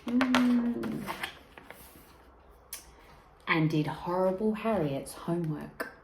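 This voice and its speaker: A woman reads a children's story aloud in a lively, swooping voice. She takes her time and stretches important words for young listeners. The room is echoey, with slight noise behind her. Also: medium pitch, creaky. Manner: expressive, deliberate, drawn-out emphasis on key words. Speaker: teacher reading a picture book aloud.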